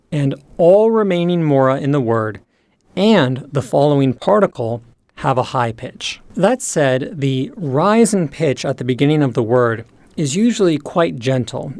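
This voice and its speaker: A man narrates an instructional lesson in a measured, explanatory manner. His voice sits at a middle pitch and is recorded very dry, with no room sound. Key terms are set out with careful enunciation. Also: darkish tone, no room sound. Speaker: teacher presenting a language lesson.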